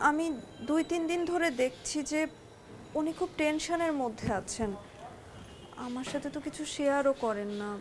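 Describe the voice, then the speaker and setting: Actress as young wife, high-pitched, Bangladeshi accent, shy and trusting, hesitant confiding talk, TV drama, noisy location sound. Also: female voice, fairly even intonation, normal speed, long pauses, close to an everyday voice. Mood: worried. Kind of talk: naturalistic Bangla TV drama dialogue.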